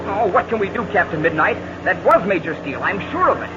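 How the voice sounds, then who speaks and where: Keen young sidekick, high animated voice, hissy dull old radio. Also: male voice, fast talker. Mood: eager. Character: Chuck Ramsey, eager young male sidekick. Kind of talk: 1940s radio acting. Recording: old noisy lo-fi radio transcription.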